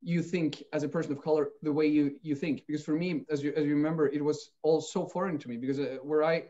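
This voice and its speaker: Man as an earnest interview guest, restarting sentences, slightly high voice, muffled recording. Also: fairly even intonation, fast talker, warm tone. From Austria. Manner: earnest, searching for words with many restarts. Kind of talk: reflective interview talk. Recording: dull lo-fi sound.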